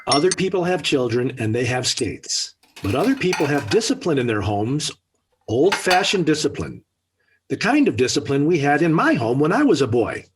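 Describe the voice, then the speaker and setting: A man's voice: a little high, big pitch swings, normal speed, breathy edge. A man playing a grumpy, exasperated middle-aged father in a 1940s radio sitcom. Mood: indignant. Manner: indignant, blustering.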